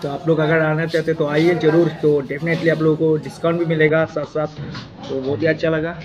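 Excited young man vlogging over loud music. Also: on the high side, brisk, highs cut off. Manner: excited, talks to viewers, mixes in English words. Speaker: food vlogger. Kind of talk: casual vlog commentary. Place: busy restaurant on opening day, indoors. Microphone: phone held at arm's length.

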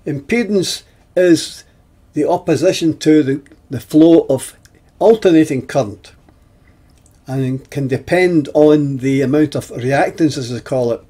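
Older man, slow husky explainer. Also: on the high side, mellow. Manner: deliberate, halting phrasing with pauses. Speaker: presenter of an instructional video. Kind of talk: explanatory technical lesson over slides.

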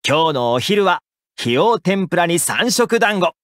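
A helpful young man from an anime game, a caring housekeeper with a casual, friendly manner. His voice sits a little high and swings expressively in pitch. The sound is close and dry. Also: unhurried, no echo, heavily acted. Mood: cheerful, caring. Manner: casual, gently attentive, expressive. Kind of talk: Japanese anime game character voice lines.

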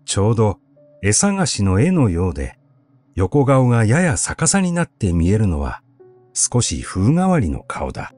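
A man reading literary prose as an audiobook narrator, in a measured, deliberate storytelling delivery. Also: medium pitch, darkish tone. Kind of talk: literary recitation, scripted narration.

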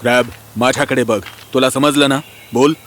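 A man voicing a stern, misunderstood elder prince in an animated fairy tale, firm and earnest. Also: mid-range voice, normal speed, a little hiss or hum, natural voice.

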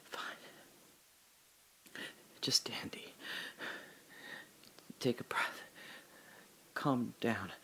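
An actor playing a terrified, confused man trapped alone in darkness, talking to himself in breathy fragments in an audio drama. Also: medium pitch, extremely slow, pauses often, fairly bright tone, in a whisper, full character voice. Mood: hushed, anxious self-calming.

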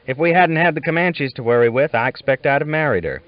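A man's voice: fairly high pitch, fast, pitched above the performer's own voice, close to an everyday voice. A man voicing a talkative, easygoing, teasing cowboy with folksy phrasing in an audiobook. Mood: reminiscing. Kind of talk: audiobook character dialogue. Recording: dull lo-fi audiobook recording.

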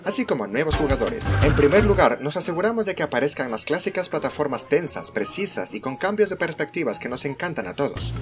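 A man with a Latin American Spanish accent reading scripted game trailer narration in an enthusiastic, promotional manner. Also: on the high side. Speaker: voice-over narrator of a fan dub.

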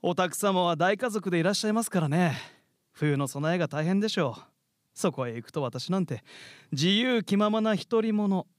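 A man's voice: high voice, lively intonation, moderate pace, dry recording, studio quality. A man playing a cocky, carefree, teasing katydid in a theatrical radio drama style. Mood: smug, teasing. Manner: theatrical, mock-polite turning taunting. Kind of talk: live radio drama acting. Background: music.

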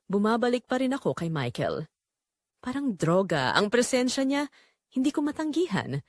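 Give the voice of a guilt-ridden married mother , acted by a woman, telling her secret in a dramatic audio story. Her delivery is confessional and emotive, with a mid-range pitch that moves widely. The sound is dry and close. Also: no room sound. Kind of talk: dramatic first-person scripted narration.

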